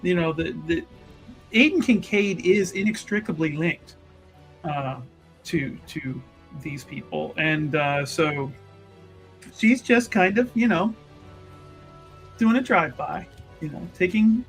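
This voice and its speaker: A man playing a tabletop roleplaying game, casually narrating his character's moves in a halting way with repeated words. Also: high-pitched, lively intonation, faint music behind.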